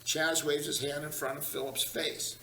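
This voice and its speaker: A man's voice: fairly high pitch, flat delivery, normal speed, sharp tone. A man reading stage directions as narrator at a screenplay table read in a meeting room. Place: large meeting room, group around tables. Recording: echoey, distant, slightly noisy room.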